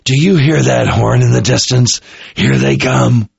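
A man's voice: mid-range voice, creaky, breathy edge, no echo, voice pushed up, exaggerated voice. A man voicing a captured enemy officer, a dazed yet smugly menacing thug in a fantasy audiobook. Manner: mumbling, halting. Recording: muffled, dull-sounding recording.

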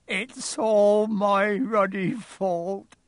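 A man voicing a huge, bearded, soft-hearted man in a storybook, with a booming, tearful voice and blunt rustic speech. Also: lively intonation, a little slow, husky, no echo, exaggerated voice. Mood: sobbing, remorseful.

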